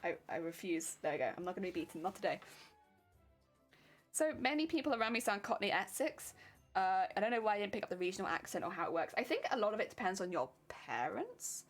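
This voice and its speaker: A woman's voice: mid-range voice, big pitch swings, fast talker. Casual streamer, young woman, southern English accent, chatty and self-deprecating, faint music bed. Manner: chatty and self-mocking, laughs often. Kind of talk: casual chat with live viewers while sewing a cosplay.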